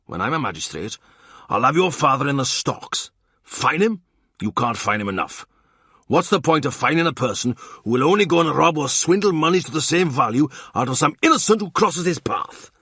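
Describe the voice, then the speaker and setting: A man voicing an excitable, self-important man who rants with heated indignation. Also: fairly high pitch, normal speed, breathy edge, dry recording, clean studio sound, voice pushed up, full character voice. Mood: ranting.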